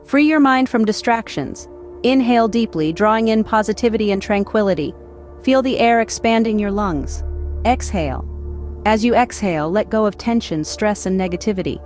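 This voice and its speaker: A woman narrating a scripted guided meditation in a measured, instructional way. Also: medium pitch, expressive, darkish tone. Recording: background music behind voice. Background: music.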